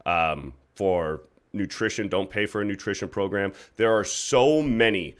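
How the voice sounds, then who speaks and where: A man's voice: on the low side, measured pace. A man hosting a casual livestream, reading super chats aloud and chatting loosely with a guest, with some swearing. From United States. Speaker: livestream host.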